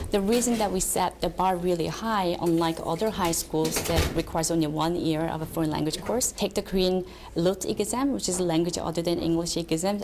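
Woman, teacher, firm, low gravelly voice, interview, noisy hallway. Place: school hallway indoors.

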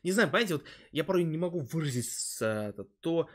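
A man's voice: fairly high pitch, lively intonation, fast talker. A man in his twenties giving casual reaction commentary as a streamer, speaking close to a desk microphone.

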